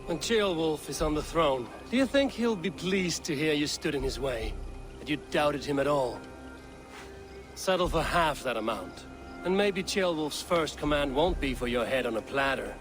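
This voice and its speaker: Man doing a blunt viking warrior in a game, slightly high voice, terse and firm, music under it. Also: animated, normal speed, natural voice. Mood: unyielding. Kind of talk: video game character acting.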